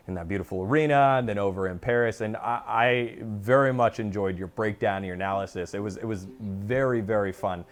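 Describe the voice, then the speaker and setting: A man in his thirties chatting casually and enthusiastically as an esports host, with an upbeat, animated manner. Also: on the low side, fairly quick, few pauses. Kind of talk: casual enthusiastic interview chat.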